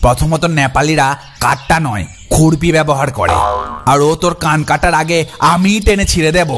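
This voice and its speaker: Man playing a bossy, high-voiced cartoon gang leader. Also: moderate pace, lightly acted. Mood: bossy scolding. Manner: pompous, scolding, blustering. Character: bossy, boastful, scheming male gang leader. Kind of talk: exaggerated comic cartoon dialogue. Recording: music under the voice.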